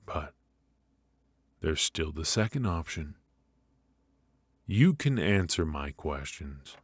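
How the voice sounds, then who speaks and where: Man playing a very deep-voiced, menacing mafia boss. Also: sing-song, breathy edge, no echo, heavily acted. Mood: coldly menacing. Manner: slow, halting, mock-polite, softly taunting. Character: menacing, teasing male mafia boss, calmly threatening. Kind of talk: ASMR roleplay acting.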